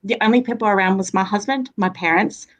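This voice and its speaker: A woman's voice: medium pitch, fast talker. A woman from Australia with an Australian accent touched by American, chatting casually and rambling with many restarts on a livestream. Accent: Australian, with some American. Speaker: guest on a livestream. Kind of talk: casual chat.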